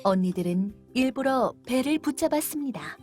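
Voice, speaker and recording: A woman narrating a children's fairytale in a clear, gentle read-aloud manner. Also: sits high, lively intonation, normal speed, soft background music. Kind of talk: scripted storybook narration.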